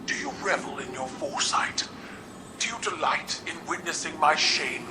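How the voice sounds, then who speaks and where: A woman's voice: animated, normal speed, music in the background. A woman playing a proud alien warrior empress burdened by shame, speaking formal, declamatory video game dialogue. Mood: proud, bitter. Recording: roomy echo, noisy rumbling ambience.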